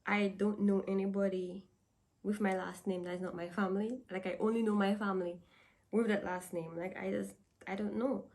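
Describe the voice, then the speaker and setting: A woman's voice: mid-range voice, fairly even intonation, moderate pace, a little mellow. A young woman from Dominica with a Dominican accent, chatting casually to camera and laughing often. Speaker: vlogger.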